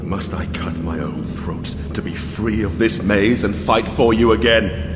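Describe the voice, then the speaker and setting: An actor playing a devout, haunted and desperate warrior knight in a tormented, halting, dramatic audiobook style. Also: male voice, on the low side, noisy background, natural voice. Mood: bitter realization. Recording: long cavernous reverb, music underneath. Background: music.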